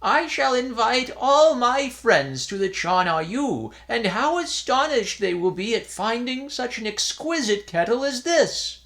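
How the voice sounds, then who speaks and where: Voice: mid-range voice, very animated, a little slow, few pauses, fairly bright tone, voice pushed up. A reader voicing a fussy old male temple priest in a raised, exclaiming voice. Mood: admiring.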